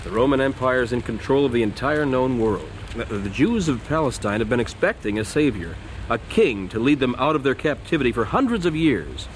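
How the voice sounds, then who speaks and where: An actor playing an easygoing, joking young American missionary and bridegroom in an audio drama, with an American accent. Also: male voice, medium pitch, animated, fairly quick, slight background noise, natural voice, rough recording. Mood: earnest, explaining. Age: young adult.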